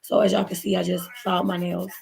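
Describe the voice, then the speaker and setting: A woman's voice: lowish voice, flat delivery, quick pace, gravelly, darkish tone, slight background noise. A woman from Baltimore who has lost her voice, chatting casually through a story time for her viewers while doing her nails, recorded on a laptop mic at home. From Baltimore, USA. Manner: chatty, rambling, addresses viewers as y'all. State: hoarse, lost voice. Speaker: vlogger. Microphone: laptop built-in mic.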